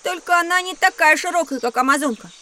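A woman playing a curious, mischievous young boy in a children's educational audio drama, teasing and excitable. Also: extremely high pitch, fast talker.

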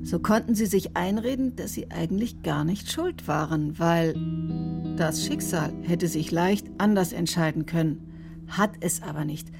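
A woman's voice: fairly low pitch, unhurried, creaky, loud music behind the voice. Probing, matter-of-fact woman doctor. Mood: insistent. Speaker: doctor accused in a criminal case. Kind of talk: German radio drama acting.

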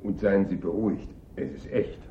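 An actor playing a nervous, shifty small-time Italian art thief in a German radio drama, halting, guarded and stubborn. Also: male voice, slight background noise, lightly acted. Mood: guarded, insistent. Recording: dull, echoey, rumbling radio recording.

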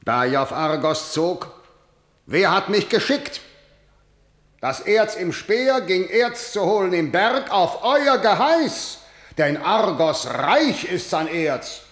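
Domineering warlord king, commanding, lively pitch, roomy room. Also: takes it slowly, exaggerated voice. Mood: defensive. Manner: commanding, scornful. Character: harsh, domineering male ruler and warlord. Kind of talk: classical verse radio drama. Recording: roomy studio echo.

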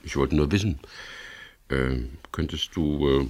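Very deep, creaky-voiced wry skipper. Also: male voice, unhurried, darkish tone. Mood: probing, dry. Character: wry, guarded boat skipper narrating his own crime story. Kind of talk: 1970s German radio crime drama, narration and dialogue.

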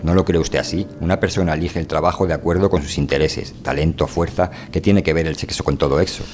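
A man acts out a thoughtful physicist from an anarchist world in a radio play. His voice sits low, and he questions and explains in a measured, reflective way. Faint noise lies behind the recording. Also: fairly even intonation, a little mellow. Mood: thoughtful, questioning. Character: calm, inquisitive male physicist from an anarchist world. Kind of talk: radio drama reading.

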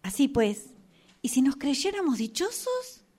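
A woman's voice: high voice, very animated, unhurried, mellow. A woman reading a resigned tramp who looks for small consolations in an amateur radio play. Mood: resigned, wondering.

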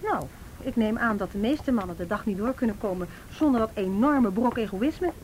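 A woman's voice: on the high side, lively intonation, normal speed, darkish tone, slight background noise, close to an everyday voice, rough recording. A young woman acting in a radio play as a cynical, argumentative student activist, in naturalistic dialogue. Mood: mocking.

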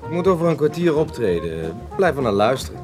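A man's voice: on the high side, sing-song, fairly quick. An actor playing an earnest, self-important male beatnik jazz poet in a radio drama, explaining things pretentiously. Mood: earnest, lofty. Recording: loud jazz club music behind dialogue.

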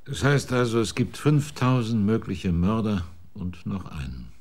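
Casting: trockener Privatdetektiv aus Galicien, tiefe Lage. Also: male voice, lowish voice, expressive, a little slow, darkish tone. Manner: laconic, dry. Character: laconic male private detective from Galicia.

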